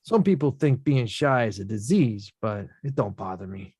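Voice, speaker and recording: A man reading the part of a rough-talking young male boxer at a script table read, slangy and self-mocking. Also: on the low side, lively intonation, normal speed, a little mellow, dry recording, clean studio sound.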